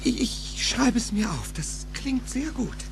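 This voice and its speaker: Timid nerdy young guy, wavering pitch. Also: sing-song, creaky, over music, full character voice. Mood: nervous. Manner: halting, stammering, earnest. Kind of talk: dubbed film acting in a German audio drama.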